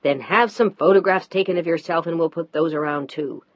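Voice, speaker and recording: A woman voicing a husband, dropping lower and speaking curtly in a marital quarrel. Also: a little fast, no echo, lo-fi sound. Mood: annoyed. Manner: low, curt, annoyed. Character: man, a husband, tired then tender.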